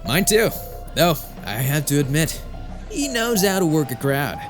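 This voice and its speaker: An actor playing a hardened exorcist warrior, a blunt mentor who speaks in a weighty, lecturing way in an audiobook drama. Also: male voice, fairly high pitch, sing-song, measured pace, over music. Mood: stern, grave.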